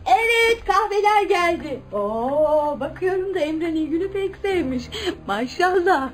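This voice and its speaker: Kind hostess in a radio play, high lively voice, familiar and expressive. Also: female voice, measured pace. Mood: cheerful. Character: warm, welcoming married woman hosting a guest. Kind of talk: radio drama acting.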